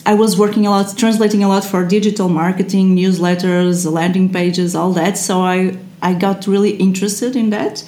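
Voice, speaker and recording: A woman's voice: medium pitch, steady tone, normal speed, hardly any pauses, mellow. Woman on an echoey line. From Portugal. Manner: chatty, frequent fillers and restarts, laughs often. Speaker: guest, SEO translator. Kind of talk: casual interview chat. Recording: echoey room.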